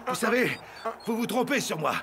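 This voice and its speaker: A man's voice: sits high, very animated, moderate pace, noisy background. An actor voicing a vain, scheming, melodramatic TV weatherman villain in a French cartoon dub. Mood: smug. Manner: pompous, theatrical. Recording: music behind the voice.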